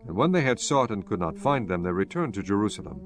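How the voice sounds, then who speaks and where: A man narrating scripture from a script in a measured, solemn storytelling manner. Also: on the low side, big pitch swings. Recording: faint music behind the voice.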